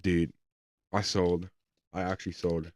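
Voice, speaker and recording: A man hosting a live weather stream, answering viewer questions casually and hesitantly, his voice going hoarse. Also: sits low, flat delivery, a little slow, no room sound. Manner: hesitant, with many ums and restarts. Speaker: livestream weather host.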